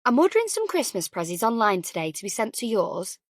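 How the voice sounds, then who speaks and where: A woman's voice: medium pitch, big pitch swings, brisk, dry recording, studio quality. A woman voicing a cheery, doting, anxious older mother chatting on the phone in an audiobook. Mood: chatty. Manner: chatty, fussing, affectionate. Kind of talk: audiobook character dialogue.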